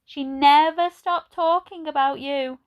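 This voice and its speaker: A woman voicing a kindly, frail old lady in a children's storybook, speaking gently and fondly in a slow, chatty way. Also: high-pitched, fairly even intonation, highs cut off, voice pushed up. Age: older.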